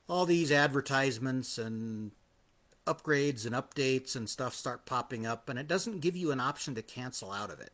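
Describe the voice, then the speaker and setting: A man hosting his own live podcast, a little hoarse after hours of singing, talking in a chatty, self-deprecating way with frequent restarts. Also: medium pitch, fairly bright tone. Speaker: podcast host. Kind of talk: live-streamed casual monologue.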